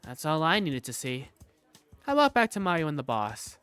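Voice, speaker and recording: Animated first-person visual novel narrator. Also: mid-range voice, moderate pace, clean tone, soft background music. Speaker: narrator and lead character.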